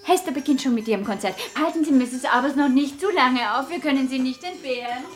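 An actress playing a patronising high-society lady in a radio play, effusive and mannered in her speech. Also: a little fast, soft background music, natural voice. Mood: sweetly patronising. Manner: effusive, mannered, sweetly condescending. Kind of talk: 1960s German radio play acting.

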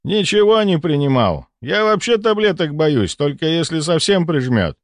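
A man's voice: fairly high pitch, very animated, normal speed, no echo, clean studio sound, pitched above the performer's own voice. A man voicing an anxious, indignant male patient, plaintive and protesting, in an audiobook. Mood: defensive.

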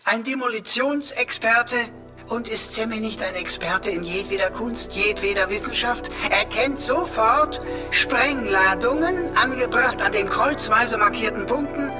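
An actor playing a chatty, vain little pocket computer in a sci-fi radio drama, raspy and given to flowery, boastful, mock-servile speech. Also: male voice, very high-pitched, unhurried, music in the background.

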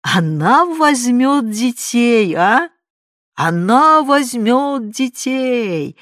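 A woman voicing a loud, spiteful middle-aged aunt who shrieks mocking accusations in a storybook reading. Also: on the high side, big pitch swings, extremely slow, no echo, studio quality, voice pushed up, full character voice. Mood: gloating.

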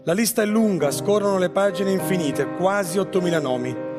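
A man acting a haunted, high-voiced grieving survivor. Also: fairly quick, natural voice. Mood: anguished, tense. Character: haunted survivor grieving a father and uncle lost to genocide. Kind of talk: dramatic literary monologue in radio theatre. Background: live music under the voice.

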